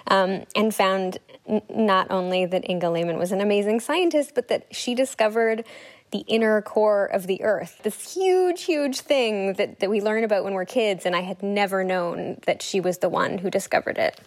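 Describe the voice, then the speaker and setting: A woman's voice: medium pitch, moderate pace. A woman journalist giving a casual interview, chatty and enthusiastic, with some fillers. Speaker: journalist and author, interview guest.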